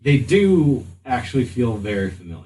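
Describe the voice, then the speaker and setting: A man's voice: mid-range voice, very animated, normal speed, warm tone, far from the mic. A man narrating a tabletop roleplaying game as game master, in a hesitant, improvised way. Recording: roomy, off-mic, faint room noise.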